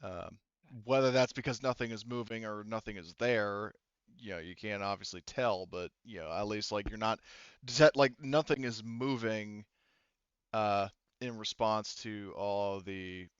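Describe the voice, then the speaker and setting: A man with a low, clear voice runs a tabletop roleplaying session as game master. His delivery is casual and halting, recorded dry and close on a slightly dull headset mic. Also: fairly even intonation, no room sound. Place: indoors, online voice session.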